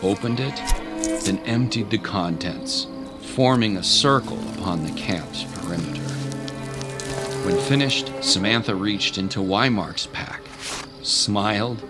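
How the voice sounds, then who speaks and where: A man narrating a dramatic scripted story as an audio drama's storyteller. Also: low voice. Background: loud music.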